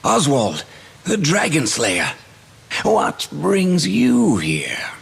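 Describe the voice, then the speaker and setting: A man voicing a sly, aloof sorcerer in a video game, wry, theatrical and unhurried. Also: fairly high pitch, wildly swooping pitch, creaky, husky, full character voice. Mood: wry amusement. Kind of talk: dramatic video game voice acting.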